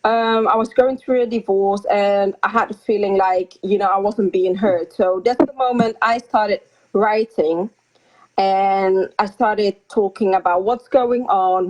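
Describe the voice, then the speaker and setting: A young woman from the Netherlands talks over a video call, her voice mid-pitched and a little muffled by lo-fi call audio. She speaks softly and hesitantly, with frequent ums and small pauses, in a relaxed interview. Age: young adult. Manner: soft-spoken, hesitant with frequent ums and light laughs. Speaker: guest author. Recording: slightly dull lo-fi call audio.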